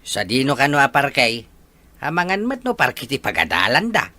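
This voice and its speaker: A man's voice: mid-range voice, wildly swooping pitch, moderate pace, sharp tone. A man playing a casual, skeptical older husband in an audio drama, with an Ilocano Filipino accent.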